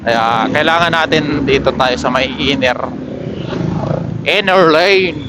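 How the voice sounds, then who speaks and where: A man's voice: fairly high pitch, slow. A man chatting casually as a motorcycle vlogger, giving unscripted running commentary into a helmet intercom mic. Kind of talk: casual vlog chat while riding. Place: outdoors on a motorcycle in city streets. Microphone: helmet intercom microphone. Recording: helmet mic with clear traffic noise. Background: vehicles and outdoor street noise.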